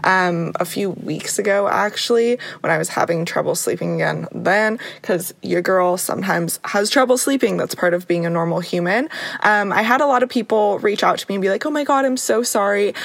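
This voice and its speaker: A young woman hosts a self-help podcast in a bright, encouraging, chatty way. Her voice is a little raspy today from a sore throat. The recording is close and dry, with no room sound. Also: medium pitch, no room sound. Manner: warm, encouraging, chatty with laughs. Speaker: podcast host. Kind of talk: upbeat motivational podcast talk.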